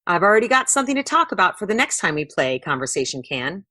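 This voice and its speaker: A curious and imaginative young boy from a storybook, acted out by a woman. He sounds friendly, with very animated pitch and a husky tone. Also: quick pace, no room sound, studio quality, exaggerated voice. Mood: curious, playful. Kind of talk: children's storybook read-aloud.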